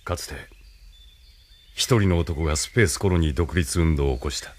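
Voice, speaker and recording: An actor playing a calm, imperious military leader in an audio drama, with a measured, condescending, lecturing manner. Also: male voice, very deep voice. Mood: cold, commanding. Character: calm, imperious male military leader, idealist turned cynical schemer. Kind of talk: anime-style audio drama acting.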